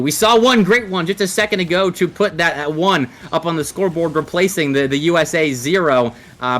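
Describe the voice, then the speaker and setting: Male esports commentator, slightly high voice. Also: a bit bright. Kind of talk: live game commentary and analysis.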